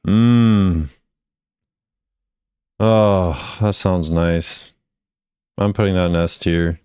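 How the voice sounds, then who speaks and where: A man's voice: low-pitched, steady tone, extremely slow, dark tone, clean tone, no echo, studio quality. A man hosting a gaming video, giving laid-back, off-the-cuff commentary as he thinks aloud. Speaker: video host ranking game voice lines.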